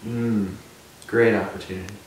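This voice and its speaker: A man answering casually in an interview, halting and chaining his thoughts with repeated linking words. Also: lowish voice, steady tone. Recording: very echoey, distant mic, faint noise.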